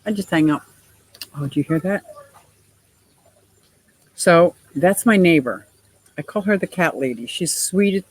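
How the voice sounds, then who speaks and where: A woman in her sixties with a deep voice, hosting a casual livestream, reading viewer comments and laughing often in a gushing, playful way. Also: no room sound, rough recording. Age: 60s. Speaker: livestream host.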